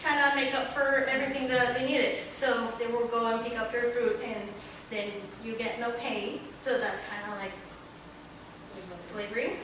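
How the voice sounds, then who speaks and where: A young Mexican woman, a student panelist, speaking earnestly at a book launch and often pausing to find her words. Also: fairly high pitch, little pitch movement. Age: young adult. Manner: earnest, searching for words with many pauses. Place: large echoey library hall. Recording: echoey hall, distant, room noise.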